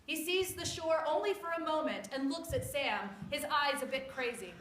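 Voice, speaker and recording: A woman reading scripted story narration aloud in an expressive, theatrical way. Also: high voice, moderate pace. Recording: echoey room with clear background noise.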